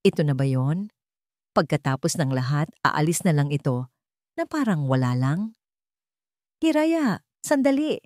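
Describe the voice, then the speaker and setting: A woman's voice: deep voice, sing-song, measured pace, long pauses, a little mellow, dry recording, clean studio sound. Woman voicing a desperate, remorseful rich man pleading. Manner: earnest, pleading. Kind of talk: dramatic audio storybook.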